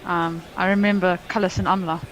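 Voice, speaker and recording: A woman from South Africa chatting as a cricket co-commentator, relaxed and conversational. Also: lowish voice, brisk, a little hiss or hum, low-quality recording. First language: English; Afrikaans is her second language. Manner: chatty, easygoing analysis. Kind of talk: live sports commentary chat.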